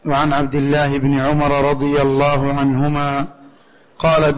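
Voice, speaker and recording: Higher-voiced man reading in a flat monotone. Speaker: student reading the text aloud in a lesson. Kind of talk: formal recitation of a written religious text. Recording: dull, muffled lo-fi recording.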